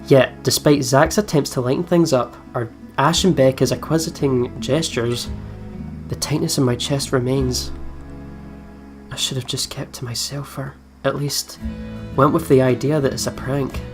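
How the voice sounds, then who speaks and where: Let's player for a visual novel: narrates the story, chats casually, soft guitar music behind. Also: mid-range voice, steady tone, moderate pace. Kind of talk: reading game narration with casual commentary. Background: soft guitar game music.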